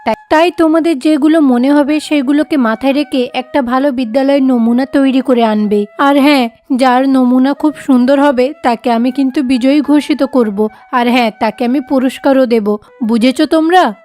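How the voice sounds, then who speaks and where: A woman's voice: high-pitched, normal speed, darkish tone, faint music behind, lightly acted. A woman voicing a female bird schoolteacher who instructs her young pupils in a cartoon. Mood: encouraging, instructive. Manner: instructive, addressing children.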